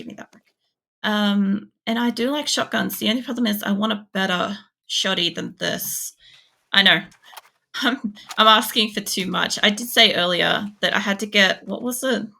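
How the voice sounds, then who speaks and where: A woman's voice: medium pitch, creaky, pushed and bright. A young Australian woman with an Australian accent streaming a game, chatting casually with viewers, rambling with lots of fillers and swearing.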